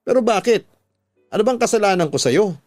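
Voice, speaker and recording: A man's voice: high-pitched, expressive, fast, a little mellow, no echo, clean studio sound, pitched above the performer's own voice. A man voicing an anguished, defiant betrayed wife in a radio drama, pleading and accusing emphatically. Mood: hurt, pleading. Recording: music bed under the voice.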